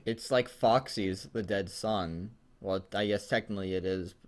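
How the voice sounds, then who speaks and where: A man's voice: low voice, moderate pace. A man co-hosting a casual, joking read-aloud of fan fiction, mixing story reading with commentary.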